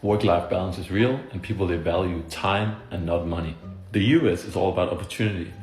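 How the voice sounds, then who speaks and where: A man from Denmark giving a calm, measured social media explainer. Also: deep voice, roomy sound, faint music behind.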